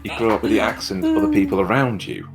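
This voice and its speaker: Very deep-voiced wry man. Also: huge pitch swings, fast talker, vocal fry, over music. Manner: wry, sarcastic asides. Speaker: podcast co-host. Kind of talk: casual chat.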